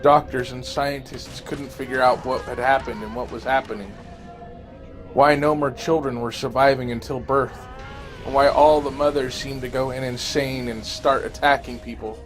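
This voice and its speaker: A man reading a scripted first-person horror story as an audio drama narrator, grave and dramatic with deliberate phrasing. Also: mid-range voice, steady tone. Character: male survivor recounting a zombie fungus apocalypse. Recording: amateur narration over music. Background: music.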